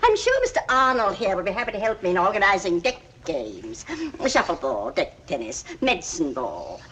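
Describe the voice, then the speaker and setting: A woman's voice: on the low side, wildly swooping pitch, fairly bright tone. Swooping, hearty lady. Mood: brisk, bossy. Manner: brisk, jolly, overbearing. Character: hearty, bossy, outdoorsy English lady passenger. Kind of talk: 1940s film comedy acting. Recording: faintly noisy 1940s film soundtrack.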